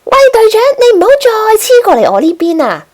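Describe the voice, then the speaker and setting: A woman's voice: very high voice, big pitch swings, fairly quick, darkish tone, pitched above the performer's own voice. A woman voicing a spirited, encouraging young female penguin in a storybook, with playful exclamations. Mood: teasing.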